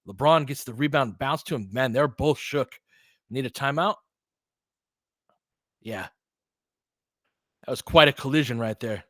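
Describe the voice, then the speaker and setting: A man's voice: mid-range voice, a little slow, pauses often, fairly bright tone, dry recording. Casting: man with a mid-range voice for casual, partisan basketball play-by-play with chatty analysis, recorded close and dry. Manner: partisan home-team fan, conversational asides. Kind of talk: informal live basketball commentary.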